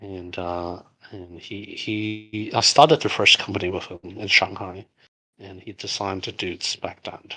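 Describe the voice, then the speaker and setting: A man's voice: low voice, level tone, measured pace, sharp tone, breathy edge, rough recording. A middle-aged man from Hong Kong, a native Cantonese speaker, casually reading out and answering community questions with many fillers and restarts. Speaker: game studio founder answering community questions.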